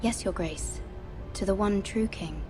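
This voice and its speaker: An actress playing a dutiful young handmaiden of noble birth in a game drama, guarded, hesitant and deferential. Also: female voice, mid-range voice, measured pace, darkish tone, faint music behind, close to an everyday voice. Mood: careful, quietly defiant.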